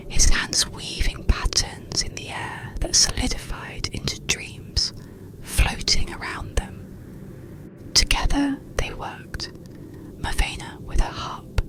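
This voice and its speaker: A breathy whisper carries this ASMR bedtime narration. The pace is very slow, with soothing pauses between short phrases. The voice stays unvoiced, with a faint crackle at its edges. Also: high-pitched. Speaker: storyteller.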